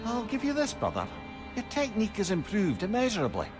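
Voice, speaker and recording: An actor playing a wry, talkative companion who makes dry asides in video game dialogue. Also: male voice, high-pitched, wildly swooping pitch, a little fast. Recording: game audio with loud music.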